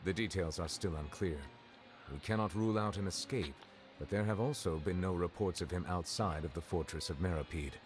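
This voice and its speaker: Guy playing stern game judge, low voice, very formal and measured, faint music under it. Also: close to an everyday voice. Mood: grave. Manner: formal, restrained, deliberate. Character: stern, formal, measured male chief justice. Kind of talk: video game voice acting.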